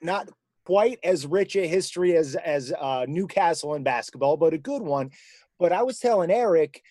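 A man's voice: on the high side, expressive, moderate pace, dark tone, no room sound, studio quality. A man from Indiana hosting a casual sports podcast, asking chatty, folksy follow-up questions. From Indiana, USA. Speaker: podcast host.

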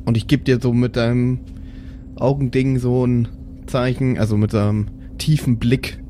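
A man's voice: mid-range voice, level tone, normal speed, warm tone, a little hiss or hum. A man improvising casually as a player in a tabletop role-play audio drama.

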